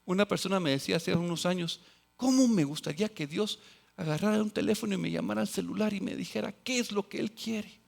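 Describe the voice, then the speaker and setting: High-voiced man preaching a sermon. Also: fast talker, vocal fry. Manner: swings between loud exclamations and quiet, low asides; quotes scripture and acts out voices of others. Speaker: pastor.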